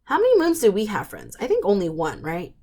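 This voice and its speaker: A woman's voice: medium pitch, wildly swooping pitch, fairly quick, darkish tone. A woman reading picture books aloud to young children, drawing out words expressively and putting questions to her listeners. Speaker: children's storytime reader.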